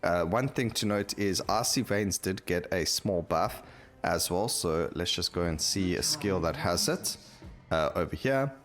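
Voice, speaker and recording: A man's voice: low-pitched, expressive, a little slow, a bit bright. A man hosting a gameplay video, talking casually and rambling as he thinks aloud while he plays. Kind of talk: casual game commentary.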